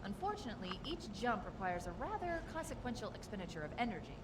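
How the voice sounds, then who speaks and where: An actress voicing a confident military AI in a video game, assertive and articulate with a sardonic streak. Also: female voice, mid-range voice, very animated, fast talker, lightly acted. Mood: briskly explaining. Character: sharp-witted, confident female military AI companion. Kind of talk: video game cutscene acting. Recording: reverberant hall, clear background noise.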